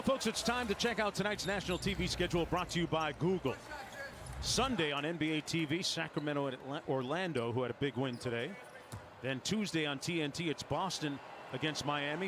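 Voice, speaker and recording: A man's voice: on the high side, noisy background. A man doing live play-by-play commentary of a basketball game for television. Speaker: play-by-play announcer.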